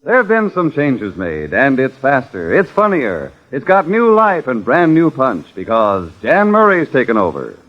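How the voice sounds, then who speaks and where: A man's voice: mid-range voice, huge pitch swings, measured pace, hardly any pauses. An American man announcing on 1950s network radio with polished, punchy salesmanship. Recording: dull old radio recording.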